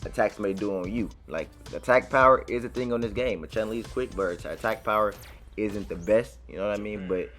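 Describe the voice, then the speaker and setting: Male gaming creator: casual running commentary, informal slang, slightly low pitch, light music underneath. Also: a little fast, a bit bright. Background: game music.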